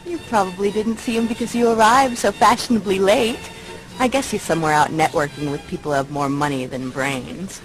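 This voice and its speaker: A woman's voice: medium pitch, fairly quick, lightly acted, poor audio. An actress playing a sarcastic, aggrieved young woman in a video game, speaking snippily. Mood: snippy. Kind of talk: video game dialogue acting. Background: music.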